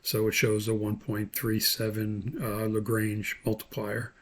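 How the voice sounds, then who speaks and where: A man explaining software step by step as an instructor, thinking aloud in an unscripted tutorial. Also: lowish voice, monotone, measured pace.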